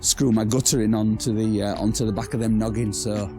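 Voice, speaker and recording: Hoarse man, chatty DIY vlog. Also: on the low side, fairly even intonation, a little fast, music in the background. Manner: chatty, self-deprecating, rambling with restarts. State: hoarse, losing his voice. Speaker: vlogger building a pizza oven shelter. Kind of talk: casual to-camera DIY chat. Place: outdoor building site in a garden.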